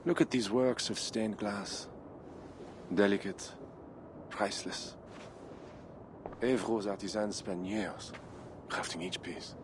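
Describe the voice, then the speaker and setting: An actor playing a proud medieval Frankish count in a dramatic game cutscene, formal, solemn and defiant. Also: male voice, on the low side, fairly even intonation, slow pace, pauses often, background noise. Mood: bitter, brooding. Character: proud, doomed medieval Frankish count defending his city.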